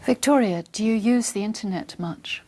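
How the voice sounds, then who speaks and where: A woman working as an oral examiner, asking scripted questions in a formal, measured way. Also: medium pitch, a little mellow.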